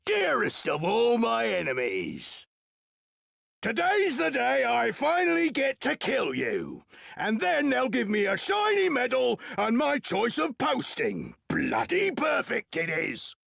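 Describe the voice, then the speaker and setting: Brutish gloating soldier, hoarse gravel, wildly swinging pitch, vengeful, game villain. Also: male voice, huge pitch swings, slow pace, fairly bright tone, no room sound, studio quality, heavily acted. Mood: gleeful menace. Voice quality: rough, gravelly. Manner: boastful, bellowing. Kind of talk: theatrical video game villain acting.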